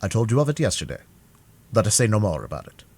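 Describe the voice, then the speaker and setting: A man voicing a calm, patient musketeer with a dry wit, in an audiobook reading. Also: on the low side, quick pace, vocal fry, breathy edge, full character voice. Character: mild, witty male musketeer with clerical hopes.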